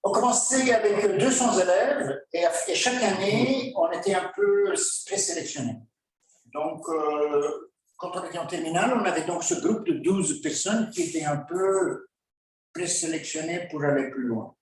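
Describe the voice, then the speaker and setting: A man's voice: high-pitched, a little hiss or hum. Guy in his 50s lecturing, lots of ums, wry, mic far off in a big echoey hall. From Belgium. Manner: halting, many filler pauses, wry asides. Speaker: guest speaker.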